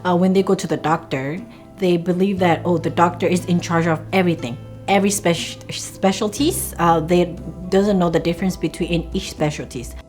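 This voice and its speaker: A young woman, a native Karen speaker from Thailand, describing her work as a community health worker. Her voice sits fairly low, and she pauses often with "uh" as she searches for words. Faint music plays behind her. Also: fairly even intonation, darkish tone. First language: Sgaw Karen. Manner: halting, with many "uh" fillers. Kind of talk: interview.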